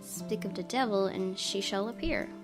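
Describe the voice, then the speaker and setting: A woman reading visual novel narration aloud for a let's play, with expressive, theatrical storytelling. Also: mid-range voice, moderate pace. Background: music.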